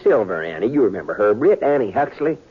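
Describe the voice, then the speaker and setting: Folksy, fretful western mayor on tinny radio. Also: male voice, lowish voice, big pitch swings, fast, slightly rough, full character voice. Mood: exasperated. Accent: American. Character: folksy, fretful, persuasive male small-town western mayor. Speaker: actor in a western radio drama. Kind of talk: 1950s American radio acting. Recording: tinny 1950s radio recording.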